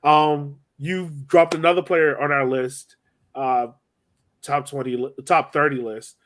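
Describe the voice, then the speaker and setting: A man's voice: a little high, level tone, a little slow, a bit bright. A man hosting a casual sports podcast, chatting informally and speaking straight to his co-hosts. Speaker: podcast host.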